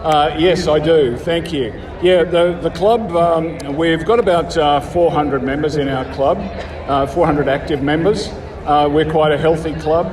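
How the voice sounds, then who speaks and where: Echoey Australian man, halting but keen. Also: fairly high pitch, fairly even intonation. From rural Australia. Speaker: car club member being interviewed. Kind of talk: casual interview. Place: outdoors at a motor show. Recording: echoey outdoor PA, distant, crowd chatter. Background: crowd.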